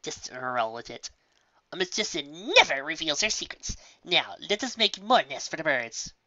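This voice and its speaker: A woman's voice: deep voice, big pitch swings, moderate pace, bright, forceful tone, dry recording, lo-fi sound, pitched above the performer's own voice, full character voice. A woman voicing a proud, sardonic female pony magician who mutters sarcastically and boasts. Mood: smug.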